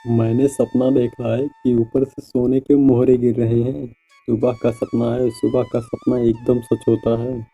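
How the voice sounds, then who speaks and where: A man's voice: medium pitch, flat delivery, a little slow, vocal fry, dark tone, breathy edge, soft background music. A man voicing a naive, hopeful and honest young village farmer in a cartoon moral story, speaking earnestly with exclamations.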